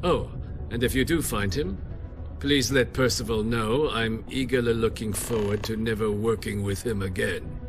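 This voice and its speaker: A man plays a haughty trade company clerk in a sci-fi game. His voice sits low, with formal, unhurried, sardonic phrasing over clearly audible music. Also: natural voice. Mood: dry, smug. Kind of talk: video game voice acting.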